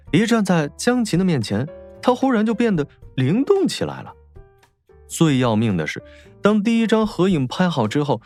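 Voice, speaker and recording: A man's voice: on the high side, darkish tone. A man narrating a novel as a scripted, dramatic audiobook. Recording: faint music behind.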